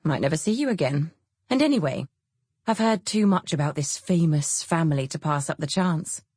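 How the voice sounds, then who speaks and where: Woman voicing a dry, mischievous bohemian woman, low-key husky. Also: expressive, normal speed, darkish tone, dry recording. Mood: scheming, delighted. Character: witty, bohemian, mischievous woman friend, petulant and caustic. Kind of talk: audiobook character dialogue.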